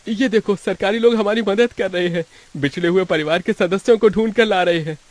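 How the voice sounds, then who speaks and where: A man's voice: sits high, brisk, dry recording, pitched above the performer's own voice, lightly acted. A man voicing an urgent young son from a poor village family in a cartoon story. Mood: urgent, reassuring.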